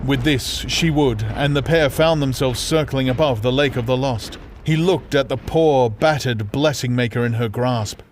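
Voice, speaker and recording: A man's voice: mid-range voice, normal speed, few pauses, slight background noise. A man reading scripted fantasy narration as an audiobook narrator, grave and theatrical.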